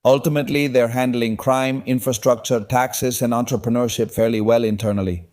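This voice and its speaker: A man's voice: medium pitch, fairly even intonation, brisk, darkish tone. A man hosting a podcast interview, making his points in long, opinionated runs. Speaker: podcast host. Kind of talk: conversational interview.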